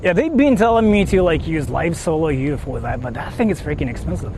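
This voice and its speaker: A man's voice: a little high, animated, brisk, background noise. A man live-streaming outdoors from a phone, rambling with lots of fillers as he explains his tech setup to chat. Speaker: IRL streamer. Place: outdoors in the countryside, on the move. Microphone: phone held while streaming.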